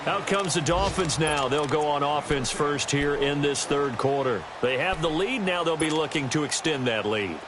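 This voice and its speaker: A man's voice: on the high side, normal speed, few pauses, natural voice. A man voicing a polished, keen play-by-play announcer in a football video game. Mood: steady, engaged. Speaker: play-by-play sports commentator. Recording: very noisy game stream with stadium ambience. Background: loud football game sounds and ambience.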